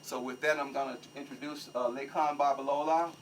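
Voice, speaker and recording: A man giving a measured, earnest speech as organizer at a museum exhibition opening. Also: mid-range voice, steady tone. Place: museum hall. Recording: distant mic with faint noise.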